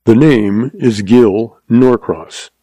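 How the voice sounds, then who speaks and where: A man's voice: medium pitch, very slow, warm tone, no echo. A man playing a tall, tough cowboy rustler hunter who speaks in terse, gritted frontier talk. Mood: menacing.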